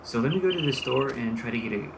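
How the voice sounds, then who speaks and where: A man's voice: medium pitch, expressive, quick pace, gravelly. An American man thinking aloud as he narrates a role-play farming game. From United States. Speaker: gaming channel host. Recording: loud vehicle engine noise behind. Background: game engine and vehicle sounds.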